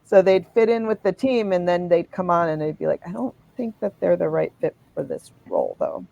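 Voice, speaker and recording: A woman running a business, chatting quickly and warmly with her team on a live video call. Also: on the low side, very animated, creaky, dull audio. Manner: chatty, quick, self-deprecating. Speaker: business owner. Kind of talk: casual live stream chat.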